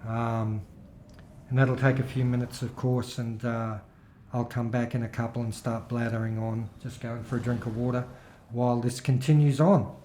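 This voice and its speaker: A man's voice: medium pitch, flat delivery, moderate pace, dark tone, reverberant, slight background noise. A middle-aged man gives rambling, casual commentary over his gameplay, his throat still a little worn. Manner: rambling, chatty, with filler-heavy restarts. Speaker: gaming video host.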